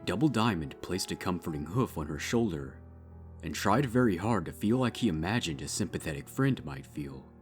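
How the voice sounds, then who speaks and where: A man reading dark fiction as a scripted narrator, measured and with dramatic pauses. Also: low-pitched, expressive. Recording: music behind the voice.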